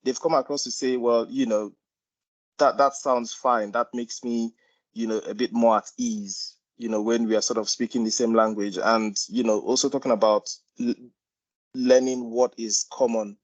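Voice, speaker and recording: A man's voice: medium pitch, little pitch movement, moderate pace. Man on a muffled video call. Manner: many fillers, shares personal stories. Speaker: panelist. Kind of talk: online meeting chat. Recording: dull lo-fi call audio.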